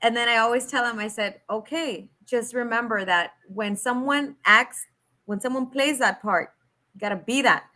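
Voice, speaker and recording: A woman's voice: fairly high pitch, moderate pace, pushed and bright. A woman hosting a podcast, talking casually and emphatically, giving her opinion and dropping in Spanish words. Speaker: podcast host. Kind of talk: casual chat.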